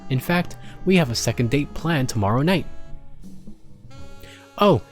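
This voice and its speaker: A man's voice: mid-range voice, lively intonation, measured pace, voice pushed up, close to an everyday voice. A man voicing a grumpy, sarcastic pony maintenance supervisor who dictates log entries in a story. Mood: smitten, upbeat. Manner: exasperated, sarcastic, swearing. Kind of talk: dramatic storybook character reading. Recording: music behind the voice.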